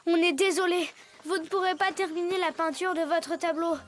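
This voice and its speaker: A woman's voice: extremely high pitch, steady tone, moderate pace, faint music behind. A woman voicing a determined young cartoon animal cub who speaks in an earnest way in a French cartoon dub.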